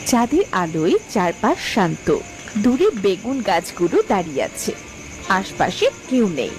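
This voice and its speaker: A woman's voice: mid-range voice, poor audio. A woman narrating a children's story from a script. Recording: music behind the voice.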